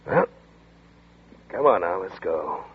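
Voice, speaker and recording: An actor playing a steady, protective adult male friend in a 1940s radio drama, speaking in a reassuring, matter-of-fact way. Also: low-pitched, slow, loud music behind the voice, exaggerated voice. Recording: tinny lo-fi 1940s radio broadcast.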